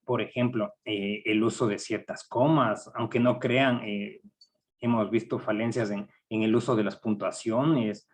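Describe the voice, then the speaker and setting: A man's voice: fairly low pitch, steady tone. Ecuadorian man leading a workshop. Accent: Ecuadorian Spanish. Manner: measured, hesitant with frequent fillers. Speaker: university research director giving a workshop. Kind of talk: academic training seminar.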